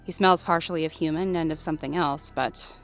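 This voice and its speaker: A woman's voice: sits low, steady tone, fairly quick, soft background music, lightly acted. A woman playing a gracious, plain-spoken mate of a werewolf pack leader in a fantasy audio drama. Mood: matter-of-fact. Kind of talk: scripted fantasy audio roleplay.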